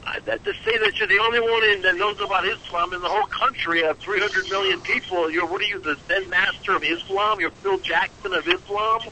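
Male panel guest, clear background noise, high voice, defensive, repetitive, heated political debate. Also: animated, fairly quick, few pauses, bright, forceful tone, rough recording. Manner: defensive, argumentative, repeats himself.

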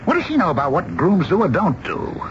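A man's voice: high voice, wildly swooping pitch, fairly quick. An American actor playing a bossy middle-aged father in a radio drama, insistent, stammering and repeating himself. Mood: exasperated, scheming. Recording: dull, hissy old radio broadcast.

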